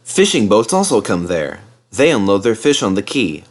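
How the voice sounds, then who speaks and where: A man narrating a children's storybook in a measured, dramatised storytelling manner. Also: lowish voice, big pitch swings. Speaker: storybook reader.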